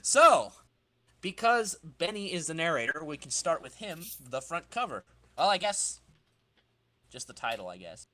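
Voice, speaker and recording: A man's voice: fairly high pitch, big pitch swings, a little slow, pushed and bright, dry recording. A male podcast host chatting casually as he organizes a group reading.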